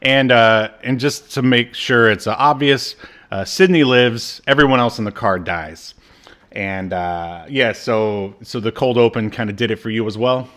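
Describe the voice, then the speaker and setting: A man's voice: mid-range voice, a little slow, fairly bright tone. A man co-hosting a podcast, casually recapping a TV episode in a rambling way, with lots of ums and uhs. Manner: rambling, many ums and uhs, acts out characters in quotes. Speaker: podcast co-host.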